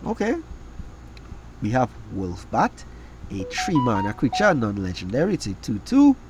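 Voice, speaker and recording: Guy w a cold, raspy, reading card text then quick takes, voice bouncing all over. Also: medium pitch, darkish tone, slight background noise. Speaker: card game video host. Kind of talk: reading out and reviewing trading cards.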